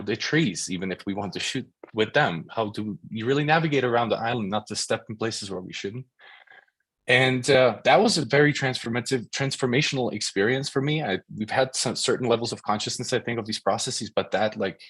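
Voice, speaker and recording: A man from the Czech Republic, a filmmaker speaking on an online panel, halting with many restarts and repeated words. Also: fairly low pitch, a bit bright, slightly rough, no echo. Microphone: video call.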